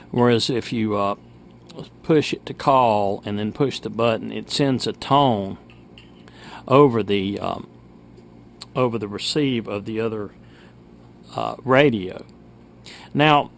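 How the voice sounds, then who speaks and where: Slow-talking, gravelly middle-aged man. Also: medium pitch. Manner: folksy, chatty, reminiscing. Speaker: video host. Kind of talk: casual vintage gadget showcase. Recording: steady background noise.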